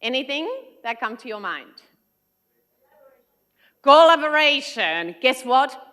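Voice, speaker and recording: A woman's voice: sits high, sing-song, slow pace, a bit bright. A woman with a Finnish accent giving a friendly, engaging talk to a large conference audience as an education consultant. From Finland. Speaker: keynote speaker, education consultant.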